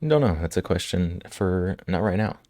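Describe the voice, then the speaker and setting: A male livestream host chatting casually with viewers, slightly hoarse from a cold, relaxed and quick to laugh. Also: low voice, dry recording, clean studio sound. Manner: relaxed, laughs often, answers chat. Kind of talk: casual chat with live chat. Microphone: studio microphone.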